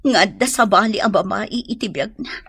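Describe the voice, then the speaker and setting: A woman's voice: mid-range voice, normal speed, fairly bright tone, slightly rough, no echo. A woman with an Ilocano accent playing a lovesick, heartbroken young mother, plaintive and emotional, in a radio drama. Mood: sorrowful.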